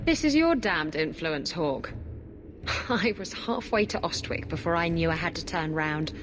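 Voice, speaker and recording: A woman's voice: fairly low pitch, expressive, unhurried. A woman playing a brash, sardonic pirate thief in a video game cutscene. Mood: wry, self-mocking. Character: brash, roguish adult female pirate thief. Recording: game music behind.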